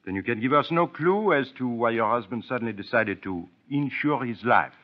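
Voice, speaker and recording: A man's voice: mid-range voice, animated, fairly quick, lightly acted. A male actor plays a vain foreign detective in a vintage radio drama. His French accent carries ornate, broken English that rises and dips theatrically. The recording is dull and narrow. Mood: courteous, probing. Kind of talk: old radio drama acting. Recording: dull, band-limited old radio recording.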